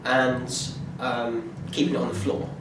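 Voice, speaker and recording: A young man giving an informal class presentation, talking in a halting way with many fillers and restarts. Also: medium pitch, completely flat delivery. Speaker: music student presenting a performance plan. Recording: echoey room from far off, noisy.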